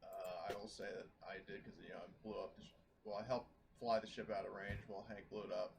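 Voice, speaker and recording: A man's voice: on the low side, level tone, fast talker. Male tabletop player, casual recap style, rambling and hesitant delivery, noisy recording. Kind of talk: casual post-game recap chat. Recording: noisy room, clear background hiss.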